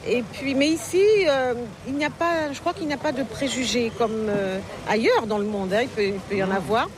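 A middle-aged woman from Paris, a novelist, talks in a thoughtful, halting way with many fillers. She is recorded outdoors at a market, with clear crowd chatter and passing traffic all around. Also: a little high. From Paris, France. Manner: reflective, hesitant with frequent fillers and restarts. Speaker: novelist guest. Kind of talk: casual interview conversation. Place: outdoor market, among people. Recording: busy crowd noise outdoors. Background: crowd chatter, passing vehicles.

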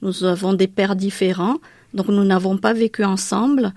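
A woman from Madagascar whose mother tongue is Malagasy recounts her past in an interview. She speaks haltingly, with frequent fillers and restarts. Her mid-pitched voice is recorded dry and close. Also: fairly even intonation, darkish tone, no echo. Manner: hesitant, many fillers and restarts. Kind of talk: personal life story in an interview.

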